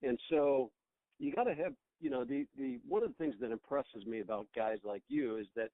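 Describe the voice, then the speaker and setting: A man's voice: medium pitch, normal speed, no echo. A middle-aged American man being interviewed as a business owner, talking conversationally and reflectively over the phone, often rephrasing as he goes. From United States. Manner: reflective, rambling, often repeats words to rephrase. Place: on a phone call. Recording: tinny, band-limited phone line.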